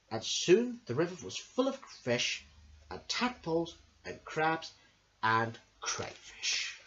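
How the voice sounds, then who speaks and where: A man reading a children's story as an amateur host, in an expressive, sing-song way. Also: fairly high pitch. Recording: dull lo-fi home recording.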